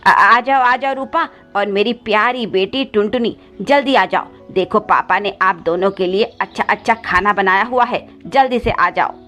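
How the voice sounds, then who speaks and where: A woman's voice: mid-range voice, moderate pace, hardly any pauses, pushed and bright, lightly acted. A woman voicing a cruel, scheming male crow stepfather with a sly, scolding manner in a cartoon moral story. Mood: falsely sweet. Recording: slightly dull audio with background music.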